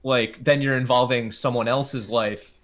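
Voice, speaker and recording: Easygoing man with a mid-pitched voice. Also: steady tone, a little slow, fairly bright tone. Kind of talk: casual podcast conversation.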